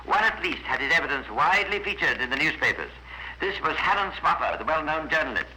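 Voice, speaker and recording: Composed, wry crime-series narrator, sharp voice, faintly noisy vintage radio drama. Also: male voice, on the high side, very animated, quick pace, full character voice.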